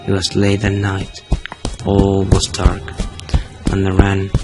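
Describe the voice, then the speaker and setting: A young man with a Chilean accent narrates a horror story in a very deep voice. His scripted delivery is dramatic and suspenseful, like a storyteller in a radio play. Music plays clearly behind him. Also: completely flat delivery, takes it slowly. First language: Spanish. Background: prominent music.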